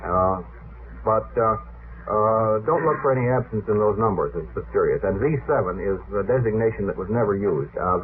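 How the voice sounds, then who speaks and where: A man explaining technical matters off the cuff as a guest on a radio panel, in a hesitant way. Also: fairly low pitch. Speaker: guest expert on rockets. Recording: old radio recording, narrow phone-like sound, noisy.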